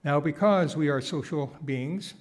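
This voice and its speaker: Man, slightly high pitch, sermon delivery, deliberate emphatic phrasing, dry humorous asides. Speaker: guest speaker giving a sermon, nonprofit founder.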